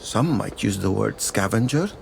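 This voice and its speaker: A man's voice: on the low side, animated, moderate pace, creaky, a little hiss or hum. A man playing a polite, wry scavenger turned traveling merchant in a video game, courteous and wordy. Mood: polite, upbeat. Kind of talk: dramatic video game acting.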